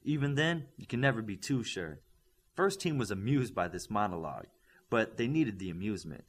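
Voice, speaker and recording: A man narrating a superhero story as an audiobook, reading from a script with dramatic, deliberate phrasing. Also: on the low side, animated.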